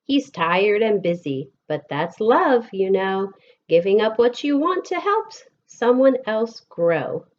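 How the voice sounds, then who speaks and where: American female storybook reader, very unhurried, expressive phrasing, slightly muffled lo-fi recording. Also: medium pitch. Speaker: elementary school teacher reading aloud. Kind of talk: picture-book read-aloud for children.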